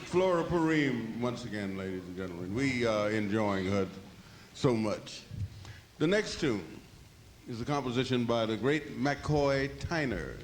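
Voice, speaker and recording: A man's voice: medium pitch, animated, unhurried. A man announcing acts from the stage at a live concert, introducing the singer to the audience. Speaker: emcee. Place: prison hall concert. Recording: noisy live recording with crowd.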